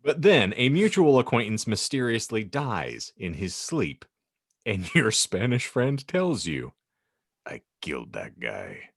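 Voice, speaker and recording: A man's voice: mid-range voice, sing-song, unhurried, husky, no echo, studio quality. A man hosting a comedy podcast, chatting casually and reading aloud in a jokey, theatrical way. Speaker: podcast host.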